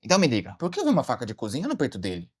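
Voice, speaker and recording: A man playing an earnest, easily startled detective in an animated mystery short, theatrical as he deliberates aloud. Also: mid-range voice, breathy edge. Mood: incredulous. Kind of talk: dramatic monologue, animated short voice acting.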